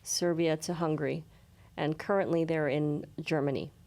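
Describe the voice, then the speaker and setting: A woman's voice: deep voice, steady tone, normal speed, darkish tone. Woman guest answering in short bits. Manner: brief, matter-of-fact listing. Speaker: film producer guest. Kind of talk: studio interview answers.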